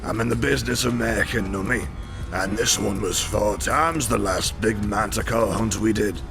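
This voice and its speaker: A man's voice: fairly low pitch, normal speed, gravelly, over music. A man playing the cocky leader of a band of fantasy mercenary hunters, speaking in a wry, dryly amused drawl for an audio drama. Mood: wry, teasing.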